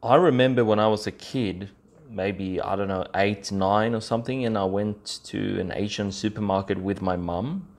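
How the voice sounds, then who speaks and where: A man's voice: fairly low pitch, normal speed. A man hosting a podcast alone, explaining things in an informal, conversational way with frequent check-ins to the listener. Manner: informal, conversational, peppers talk with "you know" and "right?". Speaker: podcast host. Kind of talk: casual explainer podcast monologue.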